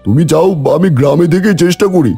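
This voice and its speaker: A man's voice: a little high, normal speed, mellow, breathy edge, faint music behind. A man voicing a discouraged, self-doubting young village son in a cartoon story. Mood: doubtful, yielding.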